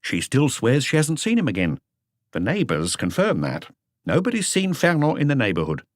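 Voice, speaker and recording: A man's voice: mid-range voice, wildly swooping pitch, normal speed, dry recording, clean studio sound, pitched above the performer's own voice. A man voicing a subordinate police officer in an audiobook, matter-of-fact and inquisitive. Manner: matter-of-fact reporting, questioning. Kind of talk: audiobook character dialogue.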